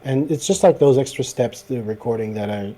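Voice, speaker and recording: A man whose first language is French, chatting casually about making videos; his voice is slightly gravelly, he hesitates and fills with "like", and he is picked up on a laptop microphone. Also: medium pitch, a little fast, mellow. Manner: hesitant, many "like" fillers. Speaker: guest video creator. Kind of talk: casual podcast chat.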